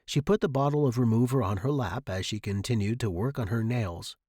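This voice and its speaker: A man narrates a short story in the first person, as in an audiobook. His pitch is average for a man. The recording is close and dry, with little room sound. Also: fairly quick, mellow, husky, no room sound.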